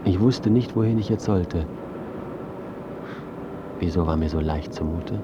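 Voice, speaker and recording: An actor playing a disillusioned, self-absorbed biographer in a radio drama, speaking and narrating in a dry, clipped, reflective way. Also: male voice, low-pitched, unhurried. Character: disillusioned male biographer, self-absorbed, adrift, dryly bewildered. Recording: muffled, clear background ambience noise.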